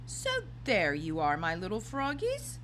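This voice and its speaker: A woman voicing an imperious, menacing grand witch in a storybook, snapping and sneering with drawn-out words. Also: lowish voice, huge pitch swings, a bit bright, a little hiss or hum, full character voice. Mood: gloating menace.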